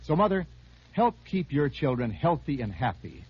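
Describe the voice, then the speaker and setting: A man delivers a scripted 1950s radio advertisement with very lively intonation. His voice is husky and his manner earnest and persuasive. The old recording is dull and lo-fi. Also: fairly high pitch, moderate pace. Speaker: radio announcer reading a commercial.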